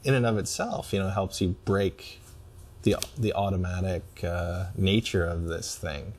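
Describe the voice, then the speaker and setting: A man in couples therapy talking reflectively, in an analytical, articulate way with measured wording. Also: sits low, steady tone, a little mellow, a little hiss or hum. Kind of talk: reflective conversation.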